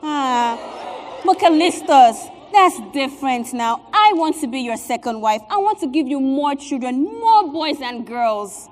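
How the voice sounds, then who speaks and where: Actress as a high-pitched, pleading West African mistress. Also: female voice, animated, unhurried. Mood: complaining. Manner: pleading, dramatic. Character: impatient, pleading mistress eager to become second wife. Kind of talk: stage comedy-drama acting. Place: theatre stage. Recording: echoey theatre hall, distant stage sound.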